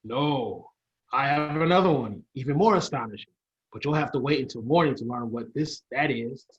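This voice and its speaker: A man's voice: medium pitch, moderate pace, close to an everyday voice. A man playing a proud older inventor professor in an old-style radio play, explaining theatrically and sometimes stumbling. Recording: dull lo-fi sound.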